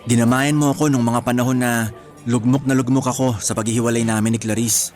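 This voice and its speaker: Gentle young man in love, acted. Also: mid-range voice, little pitch movement, quick pace, natural voice. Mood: earnest, reflective. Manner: soft-hearted, earnest, lightly teasing. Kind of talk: Filipino radio romance drama acting. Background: music.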